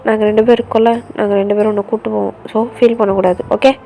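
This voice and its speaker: A woman's voice: medium pitch, flat delivery, very fast, mellow, faint music behind, voice pushed down, lightly acted. A woman voicing a loyal young female friend who soothes and reassures. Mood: reassuring.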